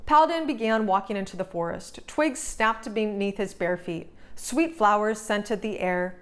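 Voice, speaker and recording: A woman narrating a children's storybook as a read-aloud host, with a dramatic, expressive storytelling delivery. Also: mid-range voice, normal speed.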